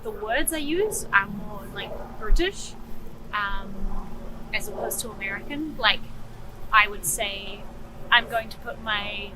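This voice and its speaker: A woman's voice: mid-range voice, takes it slowly, noisy background. A woman from New Zealand with a New Zealand accent, talking in a cheerful, easygoing way. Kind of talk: casual interview answer.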